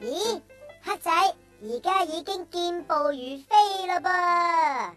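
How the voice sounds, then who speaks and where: Very high-pitched, earnest small child. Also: female voice, animated, slow pace, fairly bright tone, heavily acted. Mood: teasing. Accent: Hong Kong Cantonese. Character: small child, earnest, teasing, correcting friend. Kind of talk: children's radio drama acting. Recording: music under the voice.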